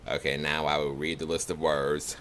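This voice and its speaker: A young man from the Chicago area with a Chicago accent, talking in a nasal, monotone way for a casual accent challenge video. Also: rumbling low, moderate pace, slight background noise. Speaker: vlogger.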